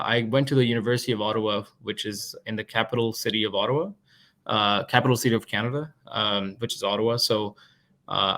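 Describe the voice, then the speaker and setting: Brief: young man in his twenties, guest on a podcast, laid-back and chatty, mid-range voice. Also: mid-range voice. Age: 20s. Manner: relaxed, chatty storytelling. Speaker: guest, product manager. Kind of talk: casual interview talk.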